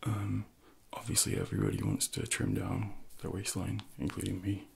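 Soft-spoken man with heavy vocal fry. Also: sing-song, normal speed. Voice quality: soft, breathy, gravelly low voice. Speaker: ASMR host role-playing a personal trainer. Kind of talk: soft-spoken ASMR role-play.

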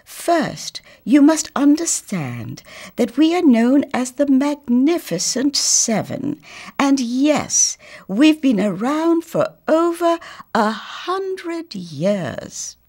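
A woman's voice: high voice, big pitch swings, slow, a little mellow, pitched above the performer's own voice, exaggerated voice. A woman voicing a proud, genteel old grandmother house in a children's storybook, emphatic and theatrical. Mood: proud, reminiscing. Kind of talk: children's storybook read-aloud.

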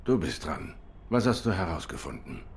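Low, stoic cat detective. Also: male voice, big pitch swings, a little hiss or hum. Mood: curt. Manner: measured, serious, terse. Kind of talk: cinematic noir game acting.

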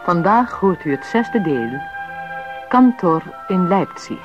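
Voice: mid-range voice, big pitch swings, takes it slowly, dull audio, over music. An announcer reading a scripted introduction to a radio drama series.

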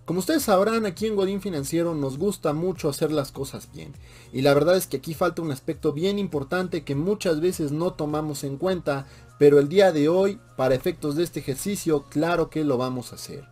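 A young man with a Mexican accent, a personal finance video host, briskly walking through investment sums from a small room, with playful asides. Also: a little high, dark tone, soft background music. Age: young adult. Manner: brisk and upbeat, reads out numbers with playful asides. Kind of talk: explainer vlog walking through calculations. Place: small bedroom with shelves, indoors.

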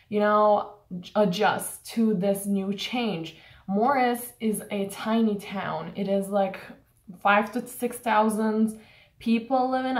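Young woman, from Turkey, casual vlog rant, lots of "like", camera on a table, echoey room. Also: mid-range voice. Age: young adult. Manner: frank, filler-heavy, exasperated complaining. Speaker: college student vlogger. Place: small room indoors.

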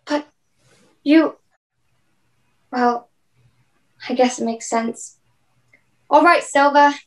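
A young actress plays a woman sea captain in a radio drama. She is stern yet caring, with a high-pitched voice. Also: drawn-out words. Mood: resigned. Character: stern but caring female ship's captain. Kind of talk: radio play acting.

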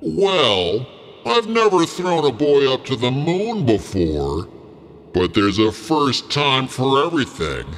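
A man playing a huge talking tree in a children's story, speaking slowly and kindly in a low, gravelly voice. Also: big pitch swings, exaggerated voice. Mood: slow, friendly. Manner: slow, drawn-out, gentle. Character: huge old talking tree, slow, deep, kindly male. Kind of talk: children's story character acting. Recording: long cavernous reverb, clear noise.

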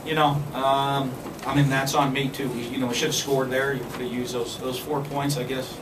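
A man in his forties, a football coach answering postgame questions at a press conference, speaking in a measured way with many fillers and restarts. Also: medium pitch, flat delivery. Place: press room with backdrop. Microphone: podium mic, filmed from a distance. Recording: echoey room, far from the camera, background noise.

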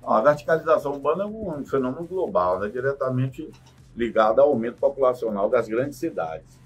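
A man, a guest in a radio studio interview explaining things in a measured way, often adding "né". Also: mid-range voice, lively intonation, husky. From Salvador, Bahia, Brazil. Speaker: guest, real estate developer. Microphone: studio condenser mic on boom arm.

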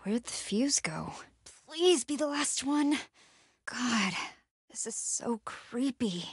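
A young American heroine of a horror video game, frightened but defiant and sarcastic. Her breathy voice sits high and moves around a great deal in pitch. She speaks slowly and intensely in a close, dry sound. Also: animated, no echo, heavily acted. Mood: hushed, uneasy. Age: young adult. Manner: intense, sardonic, swinging between whispers and yells. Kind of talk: video game voice lines, dramatic acting.

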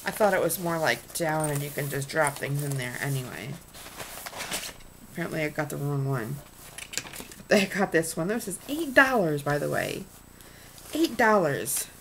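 Low, gravelly-voiced woman chatting slowly. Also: animated, background noise. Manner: chatty, rambling, lots of ums and restarts. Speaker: vlogger showing purchases. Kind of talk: casual haul vlog. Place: small room indoors. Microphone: webcam at desk.

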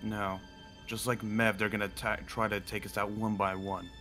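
A man's voice: fairly low pitch, flat delivery, normal speed, sharp tone, faint music behind. A man playing a serious, commanding werewolf pack leader in a fantasy roleplay, speaking firmly and decisively. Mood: grave, suspicious. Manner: firm, decisive, grave. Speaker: roleplay performer. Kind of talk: improvised fantasy roleplay acting.

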